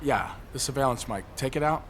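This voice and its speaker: A man's voice: medium pitch, fairly quick, creaky, a bit bright. An actor playing a cynical, suicidal man on a ledge in an audio drama, sarcastic, articulate and needling. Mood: probing, challenging. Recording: faint background noise.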